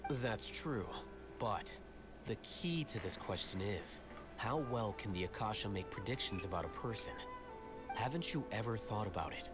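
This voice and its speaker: A cool, analytical young scholar played by a man speaks in a low, measured voice. He is articulate and detached, like anime game dialogue, with music clearly behind him. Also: expressive, close to an everyday voice. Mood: calm, analytical. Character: composed, intellectual young male scholar, coolly analytical.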